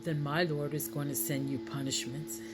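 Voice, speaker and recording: A woman's voice: low-pitched, little pitch movement, moderate pace, voice pushed down, natural voice. A woman voicing an earnest male shepherd prophet for a children's story, firm and plain-spoken.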